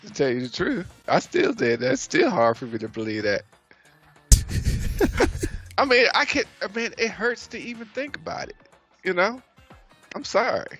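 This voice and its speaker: Excitable young man with a gravelly voice. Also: sits high, faint music behind. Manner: excitable, quick to disbelief. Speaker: game streamer. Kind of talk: casual gameplay commentary.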